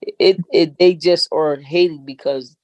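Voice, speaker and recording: A woman's voice: low voice, steady tone, a little slow, darkish tone, dry recording, highs cut off. A woman rapper talking as a guest in a casual podcast interview, laid-back and slangy, often saying "you know what I'm saying".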